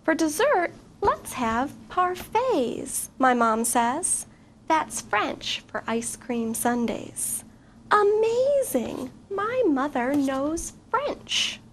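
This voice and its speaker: A high-pitched middle-aged woman reads a picture book aloud to children, expressive and often pausing to ask them questions. She takes her time over the words, and a faint hiss of noise sits under her voice. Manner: expressive, questions to young listeners. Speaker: guest reader, teacher.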